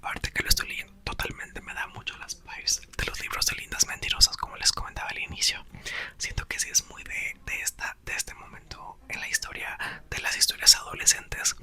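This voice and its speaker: Whispering man reading. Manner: soft whispered reading with playful chatty asides. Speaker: ASMR host reading aloud. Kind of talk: whispered ASMR book reading.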